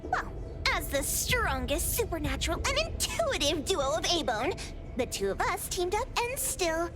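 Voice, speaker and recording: A woman voicing a cocky, excitable young girl boss in an anime-style video game, boastful and dramatic, with a playful manner. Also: very high voice, a little slow, exaggerated voice. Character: cocky, excitable young girl who bosses her gang around. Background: game music.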